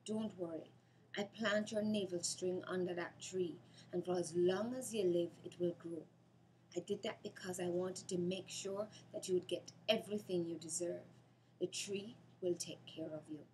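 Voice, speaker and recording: A woman's voice: on the low side, fairly even intonation, normal speed, mellow, a little hiss or hum, lightly acted, rough recording. A Jamaican woman voicing a tender dead mother who speaks lovingly and reassuringly in a storybook dream.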